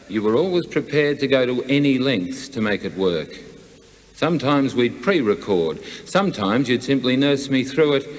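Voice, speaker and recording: An Australian man delivering a prepared, plain-spoken eulogy, addressing a late friend and colleague in a measured, reflective way. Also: lowish voice. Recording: long hall reverb.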